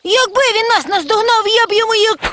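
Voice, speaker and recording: A woman's voice: very high voice, quick pace, heavy background noise. A woman playing a feisty, sceptical, cheeky boy in a cartoon, given to exclaiming. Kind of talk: animated cartoon acting. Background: loud music.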